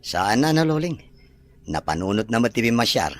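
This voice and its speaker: A man's voice: mid-range voice, sing-song, moderate pace, lightly acted. A man playing a kind, caring rich farm owner in an Ilocano audio drama, with faint birdsong behind him. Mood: easygoing.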